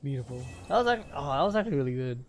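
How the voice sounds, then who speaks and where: A man's voice: medium pitch, expressive, racing, slight background noise. A man livestreaming a game with casual commentary, rambling and repeating his phrases. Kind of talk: casual live gameplay commentary.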